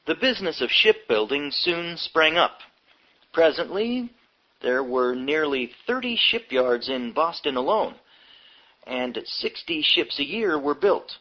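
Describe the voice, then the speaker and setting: A man reading a history book aloud as scripted narration, in measured, short phrases, on a dull lo-fi recording. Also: a little high. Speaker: audiobook reader. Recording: dull lo-fi audio.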